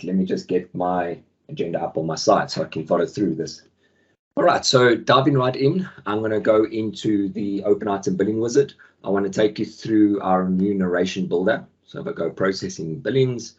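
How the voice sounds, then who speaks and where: Low husky man hosting a webinar. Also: normal speed, lo-fi sound. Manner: relaxed, many ums, friendly filler words. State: croaky from the flu. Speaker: webinar host and product manager. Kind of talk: casual software webinar presentation. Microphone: video call microphone.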